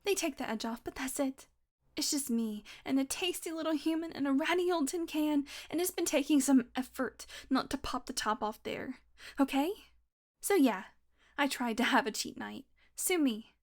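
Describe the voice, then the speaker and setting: High-pitched, sardonic vampire woman, acted by a woman. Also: normal speed, close to an everyday voice. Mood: cranky, sarcastic. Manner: sardonic, foul-mouthed, teasing with pet names. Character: hungry, irritable, sarcastic female vampire on a road trip. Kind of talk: audio roleplay acting, one-sided dialogue.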